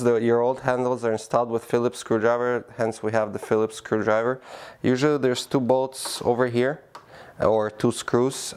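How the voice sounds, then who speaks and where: Brief: male presenter, smooth clean voice, practical step-by-step product demo with casual fillers. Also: medium pitch, a little mellow. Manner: plain, practical, many "uh" fillers. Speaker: product demonstrator. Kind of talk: how-to demonstration. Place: indoor room by a door.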